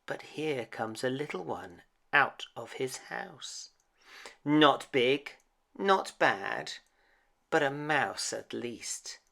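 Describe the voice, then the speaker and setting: A man reading a children's bedtime story aloud as an expressive storyteller. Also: mid-range voice, drawn-out words, creaky.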